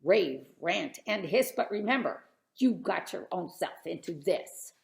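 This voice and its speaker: A woman's voice: fairly low pitch, expressive, a little slow, vocal fry, voice pushed up. A woman voicing a self-righteous male friend who mocks and scolds in rhyme. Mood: scolding. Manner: mocking, rhyming. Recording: roomy echo.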